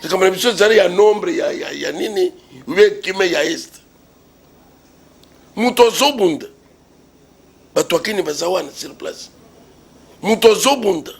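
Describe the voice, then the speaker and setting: A Congolese man argues politics with force in a fairly high, raspy voice edged with gravel. He repeats himself for emphasis and throws out rhetorical questions as a commentator being interviewed. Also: expressive, a little fast, pauses often. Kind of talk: impassioned political argument in an interview.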